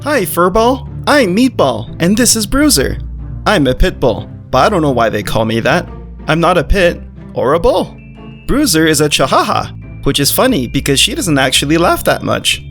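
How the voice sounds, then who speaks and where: An actor voicing a sweet, naive, excitable male pit bull who talks and narrates in a visual novel. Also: medium pitch, normal speed, faint music behind. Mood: eager, cheerful. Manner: eager, chirpy, childlike wonder. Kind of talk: character dialogue and first-person narration in a visual novel.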